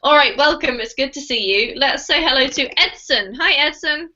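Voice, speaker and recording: A woman with a high, bright voice leads a live online English lesson in a friendly, chatty way. She speaks over a video call, and the sound comes through dull and lo-fi. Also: moderate pace, slight background noise. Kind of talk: live online group class. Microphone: computer mic on a video call.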